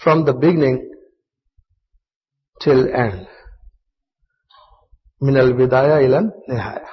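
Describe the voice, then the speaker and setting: Man, Pakistani accent, Urdu native speaker, husky voice, religious talk, muffled lo-fi recording. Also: mid-range voice, long reverb tail. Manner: measured, earnest, chants some Arabic lines. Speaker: guest speaker. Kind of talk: religious talk with Arabic recitation. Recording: dull lo-fi sound.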